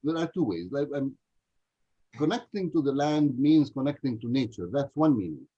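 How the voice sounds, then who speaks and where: A man's voice: medium pitch, lively intonation, moderate pace, warm tone, highs cut off. A male panelist in an online debate who questions hard and tells anecdotes with many restarts.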